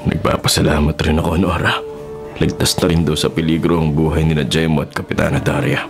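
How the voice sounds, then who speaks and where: An actor playing an earnest Filipino forest ranger in peril in a radio drama, speaking emphatically. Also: male voice, a bass voice, level tone, a little fast, vocal fry. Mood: relieved, solemn. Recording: dramatic music under voice.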